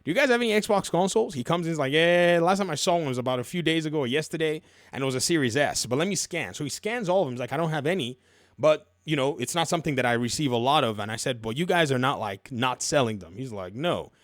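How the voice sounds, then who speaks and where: A man's voice: a little high, lively intonation, fast, dry recording, studio quality. A man hosting casual, opinionated video game commentary, telling stories in a colloquial, emphatic way and repeating phrases for effect.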